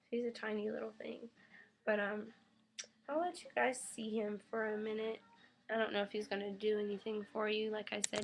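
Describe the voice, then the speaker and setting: A young woman recovering from an illness, her voice a little raspy, rambling casually about her newborn son with lots of ums. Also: on the high side. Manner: rambling, many ums, coos baby talk to her infant. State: getting over an illness. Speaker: new mother vlogger. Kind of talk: casual webcam update. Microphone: webcam mic.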